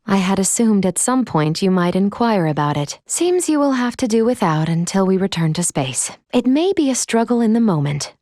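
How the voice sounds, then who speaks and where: An actress playing a calm, analytical android companion in a video game, gently caring, with formal, precise diction. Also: female voice, medium pitch, dry recording, studio quality, natural voice. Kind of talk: video game character dialogue.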